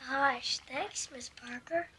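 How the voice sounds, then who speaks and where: A performer playing a polite, earnest young boy in a television drama, speaking softly. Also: high voice, slow pace, crowd noise. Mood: shy, polite. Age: child.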